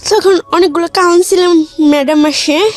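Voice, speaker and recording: A woman's voice: very high-pitched, fairly even intonation, moderate pace, soft background music. A woman with a Bangladeshi accent earnestly recounting her own life story in colloquial speech as an interview guest. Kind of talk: personal life-story narration in a radio interview.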